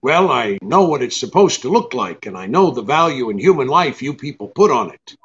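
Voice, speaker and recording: An actor playing a tough, wisecracking, impatient private detective in a radio drama, brusque and sardonic. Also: male voice, mid-range voice, big pitch swings, normal speed, a bit bright, husky, highs cut off. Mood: cool. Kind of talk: hardboiled radio drama acting with narration.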